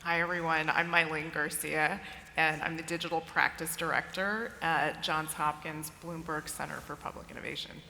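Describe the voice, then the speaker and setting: A woman with a very low voice that also has a bright, forceful edge and some gravel talks on a conference panel, casual and full of fillers. The room is echoey with a long reverb and a faint ambient hum. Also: fairly even intonation, moderate pace. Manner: conversational, many fillers. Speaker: panelist, digital director. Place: large conference stage.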